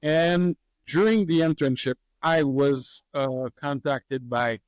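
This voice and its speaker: A man, a master distiller answering interview questions in a relaxed, hesitant way with many fillers and easy laughs. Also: on the high side, slow pace, smooth, no echo, poor audio. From Haiti.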